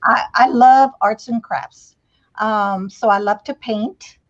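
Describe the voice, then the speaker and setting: A woman chatting casually as a panelist, in a halting, unhurried way. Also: fairly high pitch, fairly even intonation. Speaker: children's author, panelist. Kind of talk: casual chat. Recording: dull, lo-fi call audio.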